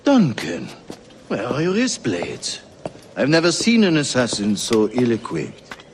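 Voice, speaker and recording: Slow, menacing smug Frenchman. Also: medium pitch, wildly swooping pitch, a little hiss or hum. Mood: smug menace. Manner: slow, mocking, threatening. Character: menacing, smug French slaver and Templar, amused and suspicious. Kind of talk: cinematic game dialogue.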